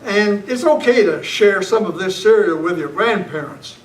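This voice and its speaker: A man playing an upbeat announcer for an old-time children's radio serial, narrating and pitching the sponsor. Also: animated, normal speed. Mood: upbeat selling. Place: club meeting room, far camera. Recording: echoey, distant camera sound, faint noise.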